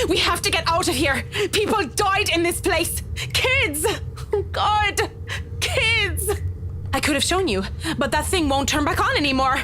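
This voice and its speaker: Very high-pitched, frantic woman in horror. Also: bright, forceful tone, slight background noise, full character voice. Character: panicked, traumatized woman escaping a haunted mansion. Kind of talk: visual novel game voice acting.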